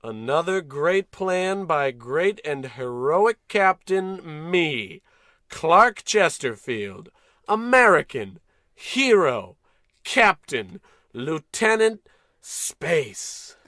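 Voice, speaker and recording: Man playing a pompous, swooping, bright-voiced space captain. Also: on the high side, sing-song, very slow, no room sound, studio quality, full character voice. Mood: boastful. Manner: pompous, melodramatic. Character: bumbling, pompous, heroic American male space captain. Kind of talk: old-time radio comedy acting.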